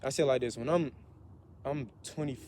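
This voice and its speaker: A man's voice: medium pitch, a little fast, mellow. An earnest young man in his twenties talks about self-improvement, looping back over his words as he goes. His voice sits in an ordinary middle range. Faint outdoor ambience and passing traffic hum behind him. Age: 20s. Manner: earnest, repetitive, self-motivating. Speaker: vlogger. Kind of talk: motivational vlog monologue.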